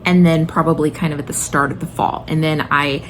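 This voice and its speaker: A woman's voice: deep voice, fairly even intonation, a little fast, a little hiss or hum. A woman in her late thirties chatting casually and enthusiastically to viewers as she recommends books, with emphatic, informal delivery. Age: late 30s. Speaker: homeschooling mother and video host.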